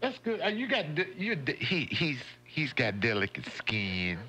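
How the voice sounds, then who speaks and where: A man's voice: fairly high pitch, huge pitch swings, measured pace, sharp tone, husky. A man hosting a morning radio show, chatting in a folksy way and laughing often. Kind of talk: casual radio chat. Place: radio studio. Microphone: studio microphone.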